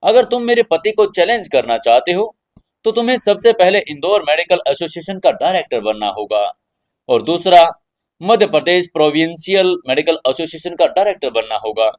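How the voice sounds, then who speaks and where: A man's voice: a little high, animated, normal speed, natural voice. A man voicing a haughty, scornful doctor's wife with a mocking, cold manner in an audio story. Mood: cold disdain.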